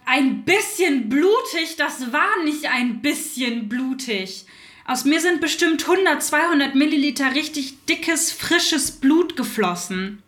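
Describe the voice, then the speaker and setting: A woman's voice: sits high, animated, takes it slowly, roomy sound, exaggerated voice. A woman voicing an outspoken young mother recounting her birth, in a theater radio play monologue. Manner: forceful, outspoken.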